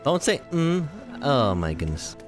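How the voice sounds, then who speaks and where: A man in his twenties giving rambling, self-deprecating commentary while playing a game, his voice tired and a little hoarse. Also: medium pitch, very animated, measured pace, music in the background. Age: 20s. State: voice tiring, slightly hoarse. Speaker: gaming video host. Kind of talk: casual let's-play commentary.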